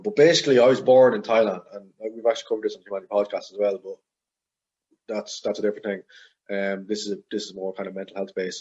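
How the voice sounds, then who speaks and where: Young guy in his twenties, low husky voice, loose rambling guest chat over a dull lo-fi line. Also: a little mellow. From Ireland. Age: 20s. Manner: rambling, heavy with fillers and hedges. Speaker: podcast guest. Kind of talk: casual interview chat.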